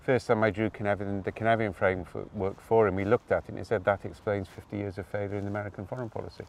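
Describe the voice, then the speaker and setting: A man from Wales telling his story outdoors in an interview, animated, with quick asides and tag questions. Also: fairly low pitch, distant mic, slight background noise. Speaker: guest. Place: outdoors on open hills.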